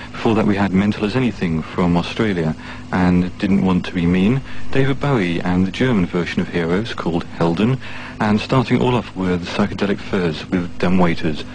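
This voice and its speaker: A man working as a radio DJ, announcing records in a measured, slightly hesitant way on a 1980s radio recording. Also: deep voice, darkish tone, rough recording. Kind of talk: music radio presenting. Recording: 1980s off-air radio aircheck.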